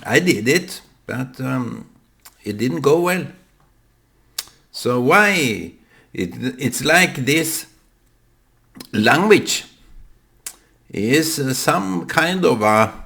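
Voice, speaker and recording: Man, Norwegian accent, very slow, gravelly, halting with many pauses and fillers, thinking aloud alone. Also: mid-range voice, very animated, a bit bright. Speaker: vlogger. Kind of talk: reflective solo monologue.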